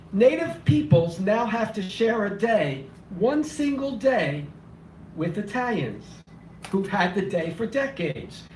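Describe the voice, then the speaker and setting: High-voiced man reciting poetry, slightly hoarse. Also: slight background noise. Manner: impassioned, declamatory, rhythmic repetition. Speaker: open mic poet. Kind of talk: spoken word poetry reading. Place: small cafe open mic. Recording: echoey room, voice from far off.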